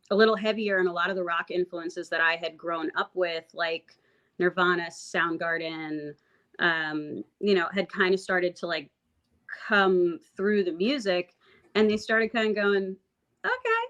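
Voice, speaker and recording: A woman singer-songwriter with a raspy voice, talking reflectively about her music in a casual interview, full of fillers and restarts. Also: lowish voice, fairly bright tone. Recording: dull lo-fi audio.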